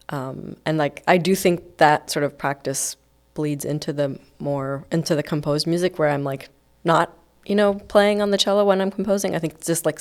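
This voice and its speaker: A woman in her thirties, from Iceland, talks through her ideas in a casual interview. Her voice sits low for a woman, and she thinks aloud, pausing on fillers before she finds her words. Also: darkish tone. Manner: thoughtful, hesitant, many fillers. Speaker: guest composer.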